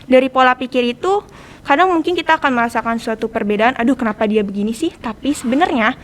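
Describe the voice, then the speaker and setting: A teenage girl with a fairly high voice speaks very quickly in Jakarta-accented Indonesian. She explains her points conversationally, like a student guest on a podcast.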